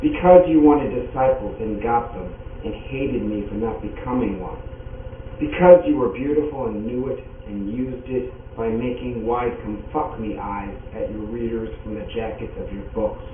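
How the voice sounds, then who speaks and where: A man's voice: mid-range voice, moderate pace. Man, poetry recitation, thin phone-like sound, faint noise, distant reader. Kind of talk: poem recitation. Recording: muffled phone-like sound, distant, echoey, noisy.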